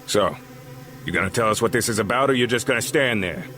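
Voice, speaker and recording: An actor playing a proud, hot-tempered crime boss in an audio drama, gruff and defiant in manner. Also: male voice, fairly low pitch, fast talker, a little hiss or hum. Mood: angry. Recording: loud dramatic music behind.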